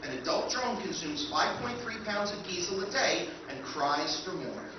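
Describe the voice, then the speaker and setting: A courteous, relentless machine speaks in formal, measured sentences, as a man acts it out on stage. The voice sits a bit high, and it comes across dull and distant, with a hall's heavy echo around it. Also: background noise. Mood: calm, formal. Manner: formal, measured, calmly menacing. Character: polite, relentless robot ship caretaker. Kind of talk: live old-time radio recreation on stage. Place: hotel function room stage with audience. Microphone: stand mic, far camera. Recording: echoey hall from far off, dull.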